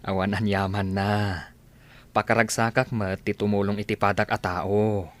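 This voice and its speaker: A man's voice: fairly low pitch, level tone, moderate pace, fairly bright tone, natural voice. A man with an Ilocano accent playing a kind, patient village husband in a radio comedy drama. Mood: kindly.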